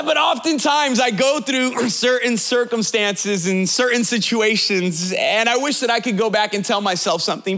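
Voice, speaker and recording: A pastor in his late thirties preaches with a very high, bright, ringing voice. He jokes with the congregation and talks to them directly, then builds his points through repetition and sing-song emphasis. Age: 30s. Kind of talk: sermon.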